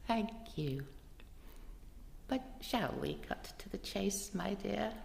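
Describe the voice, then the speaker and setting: A woman playing a genteel, sly old lady book collector in a live radio play, creaky, measured and coyly knowing. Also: mid-range voice, lively intonation, a little mellow, full character voice. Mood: genteel, guarded. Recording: noisy live recording in reverberant hall.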